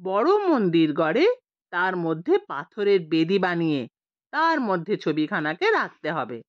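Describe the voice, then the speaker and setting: Woman acting a pompous old village priest, unhurried. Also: lowish voice, huge pitch swings, dry recording, dull audio. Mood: solemn reverence. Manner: grave, reverent pronouncements.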